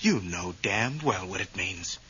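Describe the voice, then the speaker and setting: A man's voice: a little high, sing-song, measured pace, sharp tone, heavily acted. A man playing an irritable, snappish husband haunted by nightmares in an intense radio drama. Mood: accusing. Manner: agitated, snappish. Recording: dull vintage radio recording.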